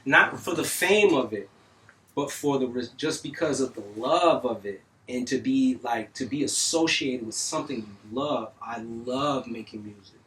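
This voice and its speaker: A middle-aged man from North Miami telling stories in a casual studio interview, animated and full of street slang and cursing. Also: mid-range voice, measured pace. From North Miami, Florida. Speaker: music engineer guest. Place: recording studio. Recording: distant mic, faint noise.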